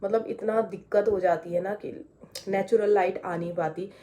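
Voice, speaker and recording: A young woman from India, hoarse with a heavy voice from a sore throat, chatting her way step by step through a skincare routine at home. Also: mid-range voice, steady tone, normal speed, warm tone. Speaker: beauty vlogger. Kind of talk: casual skincare tutorial chat. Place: indoors at home.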